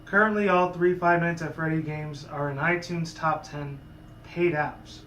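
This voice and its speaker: Man, hoarse sore voice, casual rambling commentary, lots of asides, echoey room. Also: on the high side, steady tone, measured pace, bright, forceful tone, slight background noise. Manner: rambling, full of asides and restarts. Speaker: YouTuber.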